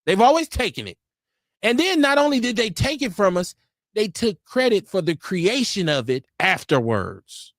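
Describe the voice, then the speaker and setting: A man's voice: high-pitched, very animated, normal speed, a bit bright, no echo, studio quality. A middle-aged American man giving opinions in casual discussion, emphatic and repeating phrases to drive points home. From United States.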